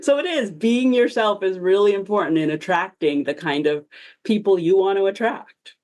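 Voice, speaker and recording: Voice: mid-range voice, moderate pace, a little mellow. A marketing consultant hosting a casual chat, agreeable and full of anecdotes. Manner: chatty, agreeable, tells anecdotes. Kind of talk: casual advice conversation.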